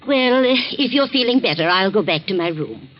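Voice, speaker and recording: A woman's voice: mid-range voice, animated, a little fast, lightly acted. A woman playing a concerned, deferential household servant in 1940s radio drama style. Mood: careful, deferential. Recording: muffled vintage 1940s radio recording.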